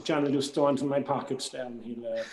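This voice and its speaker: An Irish man with a mid-pitched voice talks informally to a workshop group, offering practical advice. The sound is noticeably roomy and echoey. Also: steady tone, normal speed. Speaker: drama workshop adviser.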